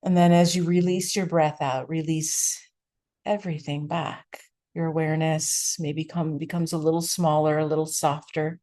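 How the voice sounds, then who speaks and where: A woman teaching a guided meditation, giving gentle, unhurried instructions with long pauses between phrases. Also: low voice, flat delivery.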